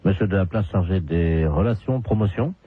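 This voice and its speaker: A man playing an officious, deadpan ministry official on a prank phone call, unhurriedly reeling off details and lists. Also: low-pitched, normal speed, natural voice. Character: brisk fake transport ministry official turned snack-van order taker. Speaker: prank caller.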